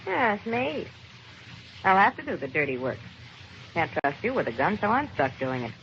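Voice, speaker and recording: A woman's voice: medium pitch, very animated, moderate pace, fairly bright tone. An American actress playing a greedy, cold-blooded gangster's girlfriend in an old radio crime drama, brash, slangy and sardonic. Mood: cold, commanding. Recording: dull old radio recording, faint noise.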